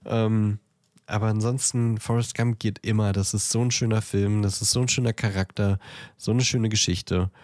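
Man, lower voice, dry close sound, casual film podcast co-host, hesitant phrasing. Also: steady tone, moderate pace, darkish tone, no echo. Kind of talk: casual chat about films.

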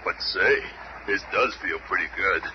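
An actor playing a gruff, streetwise, wisecracking superhero brawler in a radio drama. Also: male voice, low-pitched, unhurried. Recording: muffled, very noisy old radio recording.

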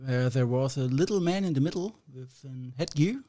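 German-accented man, podcast guest, halting speech, self-mocking, middle pitch. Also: warm tone. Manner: halting, self-deprecating, with small grammar slips. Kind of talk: casual podcast chat.

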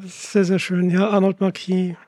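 A man hosts a podcast interview and asks his questions calmly, with a few hesitations. He praises the answers warmly, and the sound is close and dry. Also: high voice, measured pace, no echo. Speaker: podcast host.

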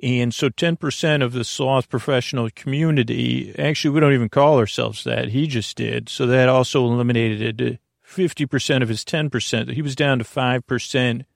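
A disgruntled sloth professional, put on by a male podcaster explains a grievance in fits and starts. The voice is creaky and closely dry, with a complaining bent suited to a comic bedtime podcast. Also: medium pitch, moderate pace, hardly any pauses, dry recording, close to an everyday voice. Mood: mild grievance.

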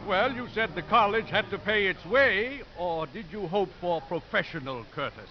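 An actor playing a feisty, righteous elderly priest in a 1950s radio drama. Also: male voice, high voice, animated, moderate pace, faint music behind. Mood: wry. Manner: wry, righteous. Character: feisty elderly priest, earnest head of a college. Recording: muffled, hissy 1950s radio broadcast.

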